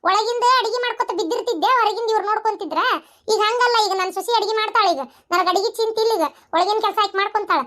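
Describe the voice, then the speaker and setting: A woman's voice: very high voice, rapid-fire, a bit bright. A woman voicing a bossy, indignant middle-aged village daughter-in-law in a cartoon, lecturing insistently with a North Karnataka accent. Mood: firm, bossy. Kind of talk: village comedy cartoon dialogue.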